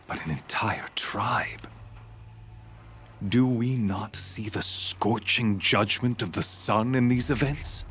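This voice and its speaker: A cold captor taunting a prisoner in a video game. His voice is deep and his delivery slow and deliberate. A faint hiss sits under it. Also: heavily acted. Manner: quiet, measured, taunting. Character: cold menacing male captor, cruel and gloating. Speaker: villain. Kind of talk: video game cutscene acting.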